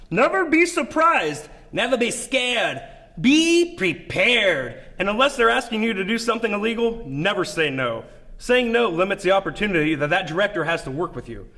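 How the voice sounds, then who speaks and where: High-pitched man giving tips. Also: a bit bright. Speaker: presenter of acting tips. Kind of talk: scripted instructional narration.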